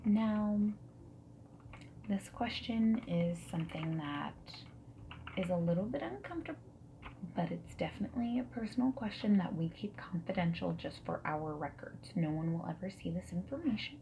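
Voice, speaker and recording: A woman playing a friendly, non-judgmental dating service matchmaker in a gentle, soft-spoken and whispered ASMR roleplay. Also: fairly low pitch, lively intonation, vocal fry, background noise. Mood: gentle, businesslike. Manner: gentle, reassuring, asks questions and pauses for answers. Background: mechanical keyboard typing and clicks.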